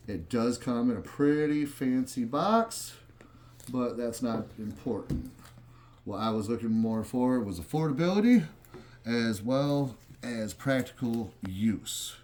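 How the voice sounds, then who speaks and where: A man's voice: mid-range voice, animated, takes it slowly, darkish tone, slight background noise. A man gives a casual, plain-spoken product review as a vlog host, talking conversationally in an echoing room. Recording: roomy, echoing room sound.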